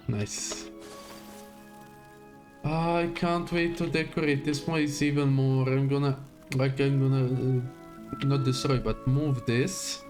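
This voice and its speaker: A man's voice: mid-range voice, a little mellow. A man giving casual live gameplay commentary, muttering to himself in short fragments. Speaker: game livestreamer.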